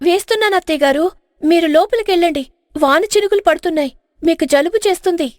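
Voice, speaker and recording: A woman's voice: very high voice, fast, no room sound, studio quality. A woman voicing a meek, dutiful young village daughter-in-law, respectful and earnest, in a moral story. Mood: worried.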